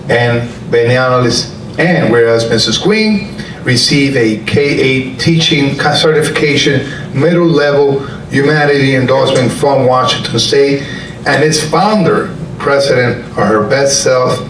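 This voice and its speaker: A city council member reading an honoree's resolution at a ceremony, halting and joking, with self-corrections along the way. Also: male voice, medium pitch. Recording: echoey hall, far mic, loud room noise.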